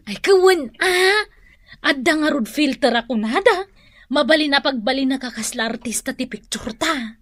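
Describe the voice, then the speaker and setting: A vain, pushy wife in a comedic radio drama, high-pitched and bright. She speaks with an Ilocano Filipino accent, bubbly and bossy, wheedling and exclaiming. The sound is close and dry. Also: animated, normal speed, dry recording. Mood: bossy, excited. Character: vain, bubbly, pushy wife hooked on social media likes.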